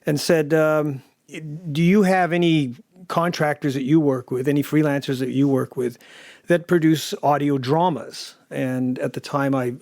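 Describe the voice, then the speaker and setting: A man casually recalling past conversations in an interview, in a halting, conversational way. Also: mid-range voice, gravelly, a little mellow, no echo, studio quality. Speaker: audio drama director and producer.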